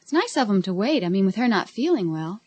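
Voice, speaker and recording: A woman's voice: mid-range voice, big pitch swings, fast talker, a little mellow, no echo. An actress in a radio drama playing a sensible, slightly exasperated teenage girlfriend with a casual, girlish manner. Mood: anxious waiting.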